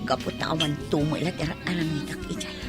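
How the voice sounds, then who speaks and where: A woman's voice: sits low, sing-song, quick pace. A woman acting a defensive, troubled married woman in an Ilocano radio drama. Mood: troubled, brooding. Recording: music under the voice.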